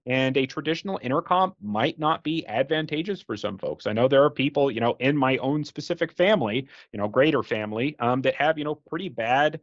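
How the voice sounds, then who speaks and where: A man's voice: medium pitch, expressive, a little fast, hardly any pauses, no echo. An American man giving a casual, explanatory product presentation in a conversational way, often saying "you know". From United States. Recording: dull, dry, lo-fi voice track.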